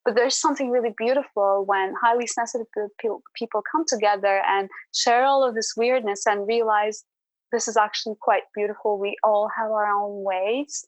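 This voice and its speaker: A woman's voice: mid-range voice, steady tone, moderate pace, dry recording, rough recording. A woman speaking as a podcast guest in a reflective, conversational way, earnest and encouraging.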